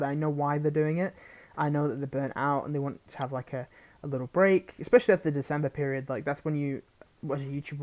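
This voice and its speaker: A woman's voice: low-pitched, a little mellow. A woman hosting her own channel, giving a casual, unscripted update to listeners in a hesitant, rambling way that often breaks off and restarts. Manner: rambling, hesitant, self-interrupting. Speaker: roleplay audio creator, channel host.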